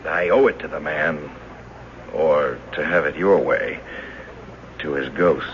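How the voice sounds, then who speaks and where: A man's voice: a bass voice, takes it slowly. An actor in a 1970s radio drama playing an earnest, agitated ordinary man facing the supernatural, urgent and insistent. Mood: determined. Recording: dull, noisy 1970s radio recording.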